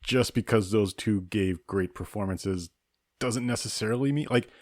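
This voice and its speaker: A man co-hosting a casual film review podcast, speaking in a measured, knowledgeable and opinionated way. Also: lowish voice, darkish tone, dry recording, studio quality. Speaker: podcast co-host.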